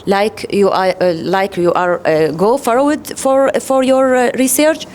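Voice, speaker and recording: Slow, halting Arabic-accented woman. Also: a little high, fairly even intonation, darkish tone, a little hiss or hum. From Iraq. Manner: halting, many fillers and restarts. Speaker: round-table participant. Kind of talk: panel discussion remarks.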